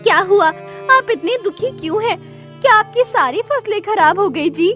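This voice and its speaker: A woman's voice: very high-pitched, expressive, moderate pace, a bit bright, faint music behind, pitched above the performer's own voice. A woman voicing a worried, devoted wife of a poor village farmer, respectful and encouraging, in a moral story. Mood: concerned. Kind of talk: animated moral story dialogue.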